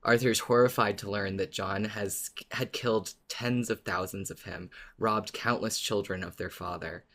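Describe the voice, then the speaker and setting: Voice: low-pitched, fairly even intonation, normal speed, few pauses, fairly bright tone. A podcast co-host talking informally and expressively about a story, as a fan would. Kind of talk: casual fan discussion, partly reading a written recap.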